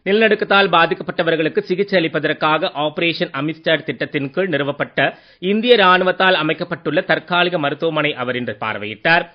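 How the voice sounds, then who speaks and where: A man reads a formal scripted radio news bulletin in a measured manner. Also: a little high. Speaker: news reader. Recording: dull lo-fi radio sound.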